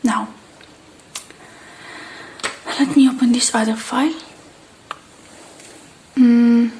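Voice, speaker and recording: A woman with a fairly high, soft, breathy voice murmurs very slowly in an ASMR roleplay. She slips between quiet speech and whispering, gentle and unhurried. The close recording carries a faint noise. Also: steady tone. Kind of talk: soft-spoken ASMR roleplay as a technician tending a robot.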